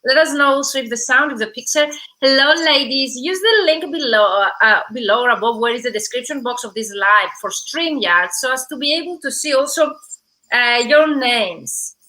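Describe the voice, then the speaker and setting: A woman's voice: high-pitched, animated, normal speed, bright, forceful tone, poor audio. A woman hosting a live-stream interview over a video call, chatty and welcoming, greeting viewers by name. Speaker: host.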